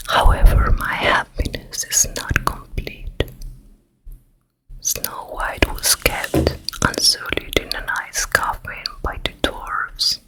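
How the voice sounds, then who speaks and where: Casting: actress for scheming evil stepmother queen, ASMR fairy tale, extremely slow breathy whisper, menacing and vain. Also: rough recording. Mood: bitter. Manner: very slow, repetitive, menacing murmur. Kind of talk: ASMR roleplay.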